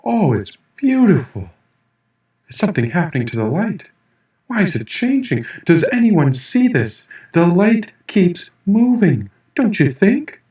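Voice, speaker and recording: A man's voice: high-pitched, big pitch swings, measured pace, warm tone, voice pushed up. A man voicing a dazed, wonder-struck character on a mushroom trip, rambling in questions as an audiobook reader. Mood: awed. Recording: echoey room, distant mic.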